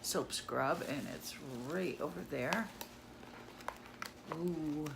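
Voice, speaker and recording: Slow, low-voiced woman gushing over a haul. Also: creaky. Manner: chatty, gushing over cheap finds. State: losing her voice, coming down with a cold. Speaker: haul vlogger. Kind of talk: casual product haul. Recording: audible noise in the background.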